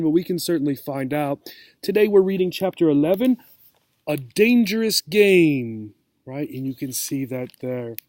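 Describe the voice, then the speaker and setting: A man reading a children's storybook aloud as a teacher, expressive and checking in with listeners. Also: a little high, no echo, studio quality. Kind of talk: storybook read-aloud for English learners.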